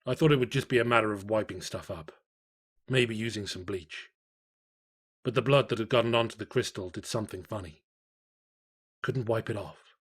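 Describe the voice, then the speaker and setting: A man's voice: fairly low pitch, moderate pace, long pauses, husky. A man playing a weary, plain-spoken carpenter, narrating his diary in blunt, musing phrases for a horror story. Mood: weary, rueful.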